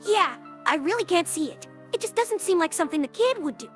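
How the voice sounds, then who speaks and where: Brash, loyal young female pegasus pony, very high and swooping, youthful and cocky, informal cartoon dialogue. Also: sing-song, fairly quick, faint music behind. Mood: reflective. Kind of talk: animated cartoon-style audio drama dialogue.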